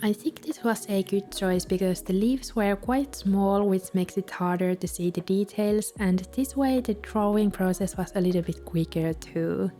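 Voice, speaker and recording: Soft-spoken Finnish-accented woman. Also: mid-range voice, normal speed, few pauses. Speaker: bullet journal vlogger. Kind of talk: calm voice-over narration.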